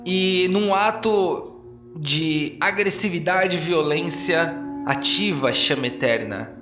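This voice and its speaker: A man's voice: a little high, takes it slowly, echoey room, lo-fi sound, over music, pitched above the performer's own voice. A man playing a grave, eloquent arcane council speaker with a formal, declamatory delivery in a tabletop audio drama.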